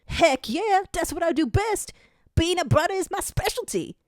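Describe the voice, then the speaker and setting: A woman's voice: very high-pitched, animated, normal speed, dry recording, clean studio sound, pitched above the performer's own voice. A woman voicing an eager, jolly male sidekick who is boastful and exuberant in an audio story. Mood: excited, jolly. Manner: exuberant, loud, swooping.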